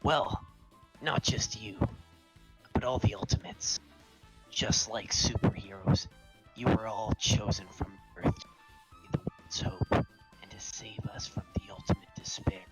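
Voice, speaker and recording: A performer voicing a soft-spoken, self-deprecating young man obsessed with hope, with breathy, fawning, lingering delivery, in a fan fiction reading. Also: medium pitch, huge pitch swings, measured pace, long pauses, whispered, soft background music, voice pushed down, poor audio. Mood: tender, admiring.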